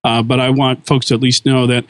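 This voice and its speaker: A man's voice: mid-range voice, flat delivery, a little fast, no room sound. A podcast co-host on his last show, chatting casually and earnestly, fond of corny dad jokes.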